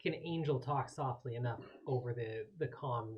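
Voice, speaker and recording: Man, average pitch, casual talk, roleplaying game player. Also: moderate pace, lo-fi sound.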